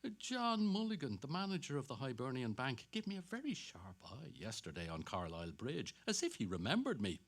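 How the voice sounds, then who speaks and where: A man's voice: mid-range voice, wildly swooping pitch, a little fast, few pauses. An actor playing a vain, dapper middle-aged salesman who chatters to himself in a dramatic stage reading. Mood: smug. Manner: self-satisfied, muttering to himself between hearty greetings.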